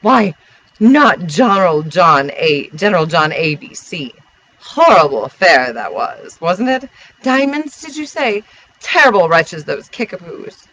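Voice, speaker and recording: A man's voice: sits high, animated, measured pace, pushed and bright, heavily acted, poor audio. A man voicing a gossipy, scatterbrained society lady in a storybook, gushing and exclaiming as she rambles.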